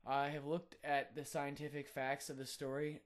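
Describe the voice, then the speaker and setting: A young man, a college student, sharing a personal faith testimony in an earnest, reflective way with frequent filler pauses. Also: fairly high pitch, monotone. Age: young adult.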